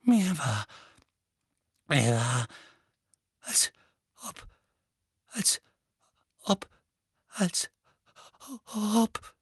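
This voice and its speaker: A man's voice: very high-pitched, steady tone, takes it slowly, pauses often, fairly bright tone, no echo, studio quality, pitched above the performer's own voice, full character voice. A man voicing a frightened, pampered teenage boy who whispers and stammers shakily. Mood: shaken. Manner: shaky, breathy whisper, stammering.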